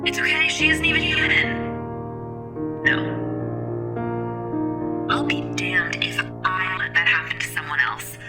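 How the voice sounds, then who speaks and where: An actress playing a defiant, cranky artificial intelligence of a space station in an audio drama, speaking in a firm, measured, clipped way. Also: female voice, lowish voice, big pitch swings, loud music behind the voice. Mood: bitter, resolute.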